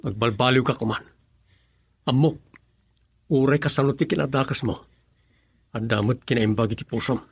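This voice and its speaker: A man's voice: mid-range voice, moderate pace, vocal fry, pauses often, breathy edge, no room sound. A man playing an earnest, solemn young suitor with a reproachful edge, in radio drama style with a Filipino accent.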